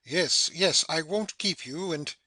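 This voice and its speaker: A man performs an older, excitable uncle figure from a comic audio play, doting and effusive. The voice is bright and fairly high, in a dry recording, with fond stammers and repeated phrases. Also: slow, breathy edge, no room sound, full character voice. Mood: fond, flustered. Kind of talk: stage comedy audio play acting.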